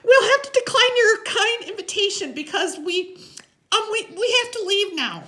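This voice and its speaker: Nervous brainy little boy, played by a woman, chattery high pitch, wordy, stammering, very polite, audio novel. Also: very animated, normal speed, sharp tone, full character voice.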